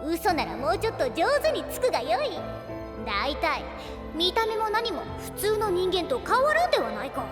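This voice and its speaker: An actress playing a haughty small companion in an anime game, blunt and mocking, with archaic old-sage diction. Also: female voice, extremely high pitch, big pitch swings, normal speed, in a large hall, over loud music. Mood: mocking disbelief. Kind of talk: Japanese anime game voice acting.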